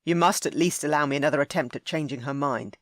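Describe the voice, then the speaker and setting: A woman's voice: deep voice, quick pace, no room sound, studio quality, close to an everyday voice. A woman voicing a forthright young duke, blunt and earnest.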